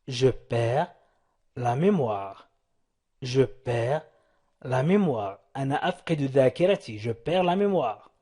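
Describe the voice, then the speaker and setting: Slow, husky man drilling phrases. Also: mid-range voice, lively intonation, dark tone. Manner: repeats phrases slowly, splits them into chunks. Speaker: language teacher. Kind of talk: slow repetition drills for learners.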